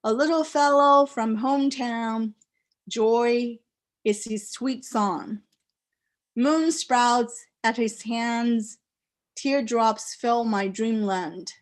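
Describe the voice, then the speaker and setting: A poet whose first language is a Chinese dialect, speaking with a Chinese accent and reading her poems aloud in slow, deliberate phrases with pauses. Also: fairly high pitch. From China. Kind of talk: poetry reading. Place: live reading event.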